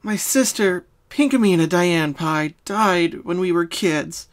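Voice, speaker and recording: Woman voicing a sad, soft, high-voiced young pony. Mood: sorrowful. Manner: soft, solemn, halting. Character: sad young female pony confessing her hidden identity.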